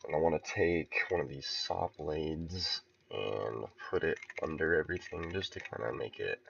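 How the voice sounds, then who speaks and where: A man casually talking through his level building on a stream, relaxed and thinking aloud with soft chuckles. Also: extremely low pitch, normal speed, gravelly, muffled recording, slight background noise. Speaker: game level creator streaming. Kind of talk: casual commentary while building.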